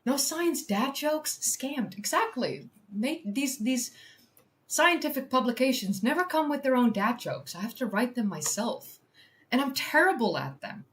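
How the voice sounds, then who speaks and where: A Dutch-accented woman hosts a science livestream in her second language. Her pitch swings widely as she chats excitably with viewers, swearing casually and making fun of herself. She talks at an unhurried pace. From Netherlands. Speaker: science communicator and livestream host. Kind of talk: casual livestream chat, reading from a study.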